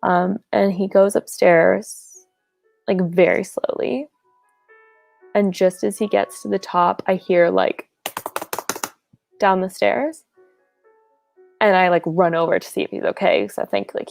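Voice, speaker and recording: A woman with a strongly gravelly, creaky voice tells a comic ghost story over a video call. Her voice is mid-pitched, and she rambles along, dropping "like" into nearly every phrase. The sound is dry and close, with faint music underneath. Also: lively intonation, measured pace, pauses often, a little mellow, dry recording. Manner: rambling, lots of "like".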